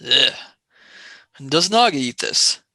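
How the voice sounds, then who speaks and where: A sardonic young male space traveller, acted by a voice actor in a radio play. The pitch swings widely and the tone is bright. The sound is dry and close to the mic. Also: big pitch swings, takes it slowly, dry recording, exaggerated voice. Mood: wry. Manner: sarcastic, wheedling.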